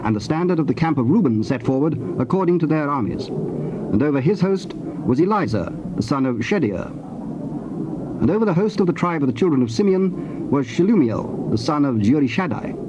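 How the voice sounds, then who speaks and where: A man narrating scripture from a script in a measured, formal manner. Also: a little high, lively intonation. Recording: dull noisy tape transfer.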